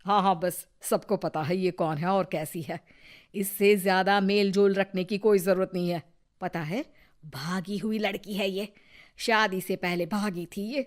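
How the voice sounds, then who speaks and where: A woman plays a two-faced older neighbor auntie in a cartoon tale. She mocks with fake sweetness at a slow, deliberate pace. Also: mid-range voice, fairly even intonation, natural voice. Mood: disapproving. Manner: mocking, falsely sweet.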